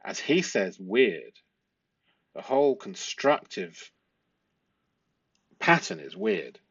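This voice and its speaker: A man giving analytical commentary as a host, reading from a transcript in halting, stop-start phrases and stressing key words. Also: fairly low pitch, sing-song, vocal fry, fairly bright tone, husky, no echo. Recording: dull, muffled lo-fi audio.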